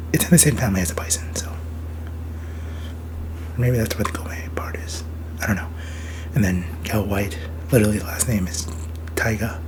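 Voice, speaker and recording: Hoarse, low-voiced young man, half whispering. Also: background noise. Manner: rambling, thinks aloud with many "like" and "uh" fillers. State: hoarse from a sore throat and cough. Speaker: TV series reviewer. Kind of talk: casual review vlog.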